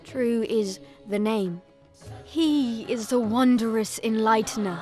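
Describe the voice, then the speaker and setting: A woman's voice: fairly high pitch, slow, music in the background. A woman playing a frightened fourteen-year-old girl, telling her story with dramatic emotion in an audio drama. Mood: fearful, intense. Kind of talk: dramatic first-person audio drama narration.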